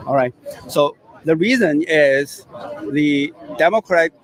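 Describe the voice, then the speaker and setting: A man from China with a Chinese accent, answering questions in a street interview in a halting, earnest way. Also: a little high, poor audio.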